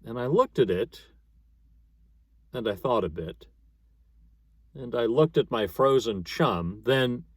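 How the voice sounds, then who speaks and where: A man reading a narrative poem aloud as a dramatic storyteller, with measured, rhythmic delivery. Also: medium pitch, lively intonation, husky, no echo. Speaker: narrator, poetry reader.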